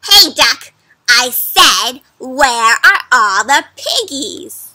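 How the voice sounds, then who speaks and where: A woman voicing a greedy, ill-tempered witch in a read-aloud picture book, shouting bossy demands. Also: high voice, lively intonation, slow, no room sound, full character voice. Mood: angry shouting.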